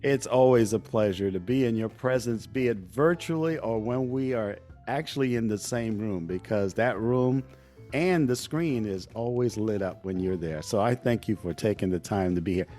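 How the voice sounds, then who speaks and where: A man's voice: on the low side, normal speed, dark tone. Male podcast host with faint music behind. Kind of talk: conversational podcast interview.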